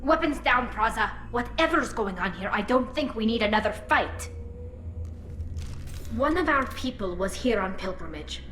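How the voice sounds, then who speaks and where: An actress playing a young alien squad leader who speaks in a firm, commanding way. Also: female voice, fairly high pitch, lively intonation, normal speed, pushed and bright, roomy sound, soft background music.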